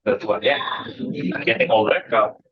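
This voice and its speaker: A man's voice: fairly low pitch, moderate pace, slight background noise. Indonesian male lecturer, dull lo-fi sound. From Bengkulu, Indonesia. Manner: conversational, frequent checking tags like "ya". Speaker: university lecturer. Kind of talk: informal lecture to students, mixing in English phrases.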